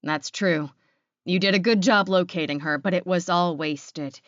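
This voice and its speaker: A woman's voice: on the low side, measured pace, dry recording, highs cut off, lightly acted. Curt, commanding pack leader read by a woman. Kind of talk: audiobook character acting.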